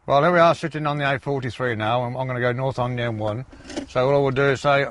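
A man's voice: mid-range voice, fast, fairly bright tone, slight background noise. An English man in his sixties, a truck driver, chatting casually in his cab. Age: 60s. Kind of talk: casual chat.

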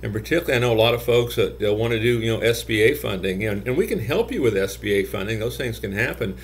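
A man's voice: fairly low pitch, expressive, fast. An older man, a gym business consultant, giving conversational advice to camera from his office, with frequent "you know" fillers. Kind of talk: talking-head business advice video. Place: office with shelves, indoors.

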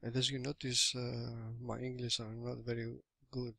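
A man's voice: medium pitch, monotone, vocal fry, sharp tone, no echo. A Greek man in his thirties, speaking English as a second language with a Greek accent, giving a hesitant, casual screen-recorded walkthrough from a small room on a webcam mic. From Greece. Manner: hesitant, halting English with many fillers and restarts. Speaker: affiliate marketer giving a product review. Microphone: webcam or laptop mic.

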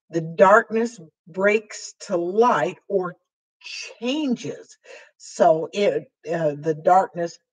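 Older woman, sign language teacher; hoarse, breathy voice, unhurried, halting delivery. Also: lowish voice, a little mellow, low-quality recording. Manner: slow, halting, with frequent fillers while signing. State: voice weakened and hoarse after throat intubation. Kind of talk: slow explanatory sign language lesson. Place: small room with dark curtain backdrop, indoors.

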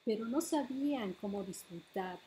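A middle-aged woman hosting a bilingual storytime as a children's librarian, reading picture books aloud with deliberate phrasing for small children. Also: a little high, lively intonation, dark tone, a little hiss or hum, rough recording. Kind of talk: bilingual picture-book read-aloud for preschoolers. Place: living room at home, indoors.

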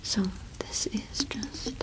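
A woman's voice: natural voice, poor audio. Woman playing a whispering young cottage witch shopkeeper. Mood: friendly, attentive. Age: young adult. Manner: unhurried, gently attentive, chatty. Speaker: ASMR roleplay performer. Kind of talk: whispered ASMR roleplay. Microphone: close microphone.

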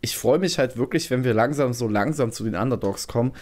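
A man's voice: mid-range voice, a little fast. Joking man co-hosting a podcast. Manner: relaxed, joking, asks questions. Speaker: podcast co-host. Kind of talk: casual podcast chat.

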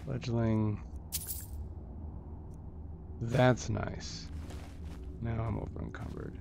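A man's voice: mid-range voice, little pitch movement, extremely slow. A man giving casual solo commentary as he plays a video game, muttering to himself and repeating short phrases. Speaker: video game player and host of a gameplay series. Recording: dull lo-fi audio with clear background noise.

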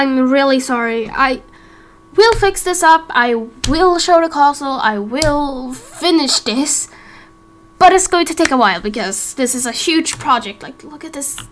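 Tired female gaming creator, high voice, slow, hesitant, apologetic casual chat to viewers. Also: fairly bright tone, a little hiss or hum.

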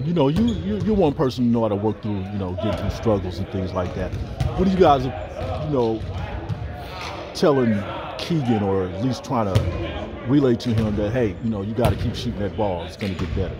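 A man's voice: fairly low pitch, lively intonation, fairly quick, a crowd in the background. A male sports reporter putting casual questions to a player, with gym noise and music behind.

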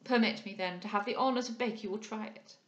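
Woman playing an affected, pompous young-gentleman captain. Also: mid-range voice, fast talker, echoey room, dull audio, close to an everyday voice. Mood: smug, affected. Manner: pompous, affected, peppered with French words. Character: affected, self-satisfied fop of a captain. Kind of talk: dramatised audiobook character voice.

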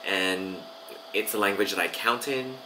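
A man in his thirties with an Australian accent, a language teacher chatting casually to camera with many fillers and pauses, seated in a small room. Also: on the low side, background noise. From Vanuatu. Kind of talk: casual video talk to camera.

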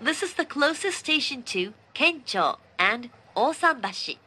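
A woman delivering a formal, scripted train announcement, measured and clearly enunciated. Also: high-pitched, big pitch swings, pushed and bright. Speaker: English-language train announcer.

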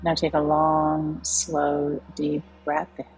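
A woman leading a guided meditation in a calm, soothing, unhurried way with long pauses. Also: low-pitched, vocal fry, low-quality recording. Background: soft background music.

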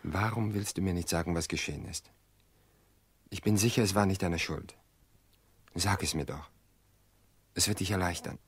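Worried protector, deep voice, husky, calm, earnest, reassuring, radio drama. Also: male voice, moderate pace, long pauses, dry recording. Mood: gently coaxing. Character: worried, protective man soothing a bereaved woman. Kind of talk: German radio drama acting.

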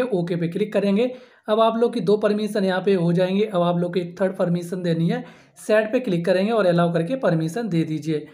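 A man's voice: high voice, darkish tone. A man presenting a step-by-step phone app tutorial, repeating himself and addressing viewers as friends. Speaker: host of a tech tutorial channel.

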